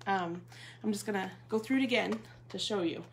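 Chatty woman with a gravelly edge. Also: medium pitch, a little fast. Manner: chatty, casual, frequent ums. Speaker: bag consultant demonstrating products. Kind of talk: live product demo. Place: living room at home. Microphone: phone or webcam at table distance. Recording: noisy Facebook Live stream.

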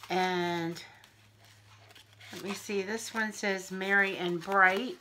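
Wheezy, unhurried woman showing craft finds. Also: medium pitch, fairly even intonation, sharp tone, a little hiss or hum. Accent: Pennsylvania. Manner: chatty, unhurried, friendly show-and-tell. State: wheezy, clearing her throat. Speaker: craft haul vlogger. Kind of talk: casual haul video. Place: home kitchen, indoors. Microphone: camera in front at arm's length.

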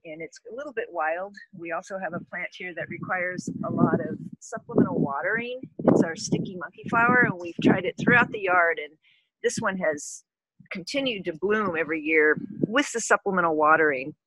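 A woman's voice: on the low side, very animated, moderate pace, gravelly, sharp tone, husky, dry recording, low-quality recording. A woman giving a casual tour of her backyard garden, explaining plants in a friendly, explanatory way.

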